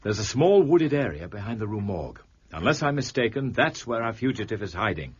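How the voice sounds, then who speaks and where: An actor playing a coolly superior detective, measured, dry and authoritative, in a radio drama. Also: male voice, lowish voice, very animated, husky, highs cut off. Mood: brisk, assured.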